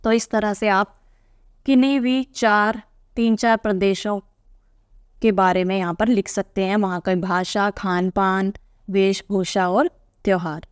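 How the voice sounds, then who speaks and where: A woman's voice: mid-range voice, steady tone, unhurried, smooth, no room sound, clean studio sound. A woman teacher reading aloud from a textbook and patiently explaining each answer to young pupils.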